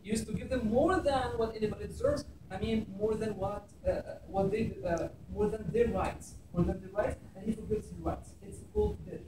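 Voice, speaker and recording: A man's voice: high-pitched, lively intonation, moderate pace, few pauses, noisy background. A man in his thirties from Egypt with an Egyptian Arabic accent, giving a public talk in a classroom and explaining scripture in English. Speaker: speaker. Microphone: far camera. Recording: echoey room, from far off.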